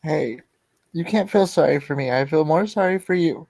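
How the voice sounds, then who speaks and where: A man's voice: a little high, animated, moderate pace, gravelly, darkish tone, no echo. A man speaking as a podcast guest co-host in casual, joking banter.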